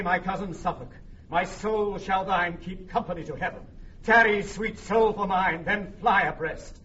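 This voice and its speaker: An actor playing a loyal, tender English noble soldier, delivering verse in a lyrical, emotive classical Shakespearean radio manner. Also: male voice, sits high, fairly bright tone. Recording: distant, dull, noisy archival radio recording.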